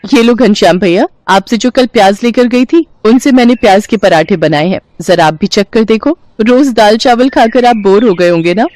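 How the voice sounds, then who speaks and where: A woman's voice: medium pitch, normal speed, hardly any pauses, a little mellow, lightly acted. A woman voicing a poor, pregnant young widow in a cartoon story, speaking in an earnest, pleading way.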